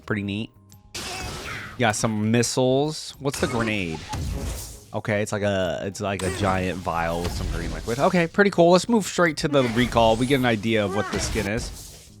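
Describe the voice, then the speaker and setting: A man's voice: on the low side, expressive, normal speed, over music. A man giving casual reaction commentary as a video creator looking over game content.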